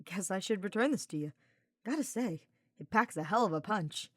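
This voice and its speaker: A woman's voice: medium pitch, lively intonation, normal speed, fairly bright tone, dry recording, studio quality. Cheeky young male knight, played by a woman narrator: easygoing, slangy, storybook teasing. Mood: friendly.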